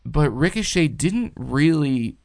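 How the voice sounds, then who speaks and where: Guy co-hosting a podcast, voice all raw and hoarse, gravelly fry, close dry mic, rambles on excited. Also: a little high, slow, no echo. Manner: enthusiastic, rambling storyteller. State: hoarse from a recent concert. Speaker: podcast co-host and rapper. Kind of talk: casual chat.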